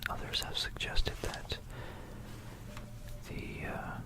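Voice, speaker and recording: A person narrating history in a breathy ASMR whisper, close to a binaural microphone, in a hesitant, meandering way that often repeats phrases. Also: slow.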